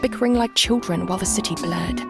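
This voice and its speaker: A woman's voice: medium pitch, quick pace, gravelly. A woman reading a dramatic story as an audiobook narrator. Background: background music.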